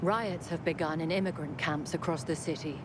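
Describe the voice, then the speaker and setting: A woman playing a grave, principled radio news anchor in a game, with a solemn, measured, emphatic delivery. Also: deep voice, steady tone, noisy background, close to an everyday voice. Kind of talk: scripted radio news bulletin. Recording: loud music bed under voice.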